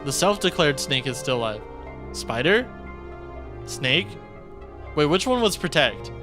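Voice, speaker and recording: A man's voice: a little high, very animated, a little slow. A man streaming a game, reading story text aloud and adding his own commentary, with game music behind him. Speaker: streamer playing a visual novel. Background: video game music.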